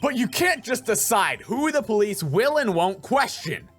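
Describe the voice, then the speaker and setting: A man voicing an earnest, excitable young male defense lawyer in a video game, emphatic and challenging, with music behind. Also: sits high, measured pace, pitched above the performer's own voice, heavily acted.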